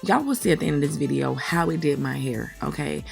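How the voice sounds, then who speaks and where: A woman in her thirties narrating her hair routine in a relaxed, candid way. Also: low voice, a little fast, creaky, faint music behind. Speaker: hair vlogger. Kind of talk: voice-over tutorial.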